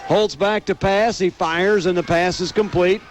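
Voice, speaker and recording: A man's voice: sits high, fairly even intonation, a little slow. An American man doing live radio play-by-play commentary of a football game.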